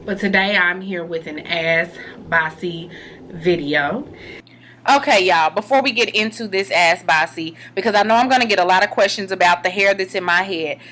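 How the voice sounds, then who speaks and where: A woman's voice: on the low side, normal speed, a bit bright, slight background noise. A young woman vlogger with a nasal, congested voice from a cold, chatting casually and reading viewer letters aloud with her own reactions. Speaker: vlogger giving advice. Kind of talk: casual advice vlog.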